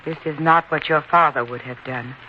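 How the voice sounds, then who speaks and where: A woman acting a patient, worried widowed mother on a farm in an old-time radio drama, reasoning gently and in measured phrases. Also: sits low, lightly acted. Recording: dull, lo-fi radio recording with hiss.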